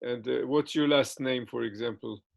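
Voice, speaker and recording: A man's voice: mid-range voice, moderate pace, vocal fry. A man giving interview answers in English, which is not his first language, with many fillers and restarts.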